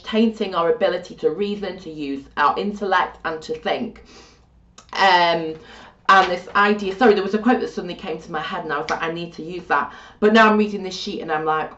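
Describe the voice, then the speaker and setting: Chatty woman teacher, roomy and distant. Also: on the low side. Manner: chatty, animated, self-deprecating asides. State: getting croaky. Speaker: religious studies teacher. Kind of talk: informal video lesson. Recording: muffled, roomy, from off-mic.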